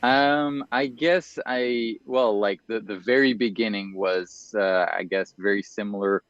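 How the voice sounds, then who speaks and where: Quick, hesitant young man, lo-fi sound. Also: fairly low pitch, no echo. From United States, raised in France. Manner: quick, hesitant, many fillers and restarts. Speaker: podcast guest. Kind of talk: casual interview chat.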